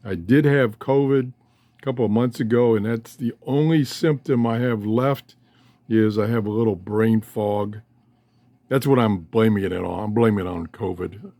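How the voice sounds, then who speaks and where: A man's voice: lowish voice, moderate pace, mellow, dry recording. An older man talking casually in a folksy, rambling way, often interrupting himself as he hosts a hobby vlog. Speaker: host of a shortwave radio hobby channel. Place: cluttered room downstairs at home. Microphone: handheld cell phone.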